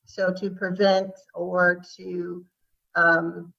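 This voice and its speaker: Slow-spoken woman, muffled and distant. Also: fairly low pitch, little pitch movement, creaky, bright, forceful tone. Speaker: city grants official answering questions. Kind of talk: explaining at a public hearing. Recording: muffled audio, distant microphone.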